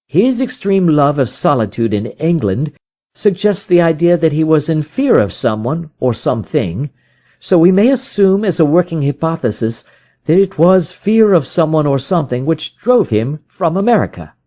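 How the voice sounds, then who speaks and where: A keen, methodical detective, read by a man in a storybook, with a higher-pitched, mellow voice. He reasons aloud confidently and urgently, questioning and stressing points with lively swings of pitch. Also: normal speed. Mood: reasoning, probing. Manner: didactic, questioning, emphatic. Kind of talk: dramatised storybook reading.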